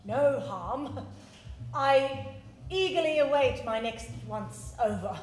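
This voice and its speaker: A woman playing a nervous young gentleman suitor in a live radio play, stammering, flustered and overly formal. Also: high voice, lively intonation, takes it slowly. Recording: roomy stage sound from a distance, with music.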